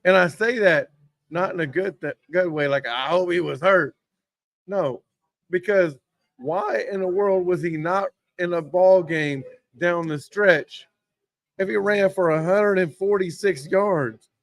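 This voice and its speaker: A hoarse man hosting a casual sports talk show from a sports bar, rambling and getting worked up over play calls. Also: sits high, unhurried, no room sound. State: hoarse from yelling. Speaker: host.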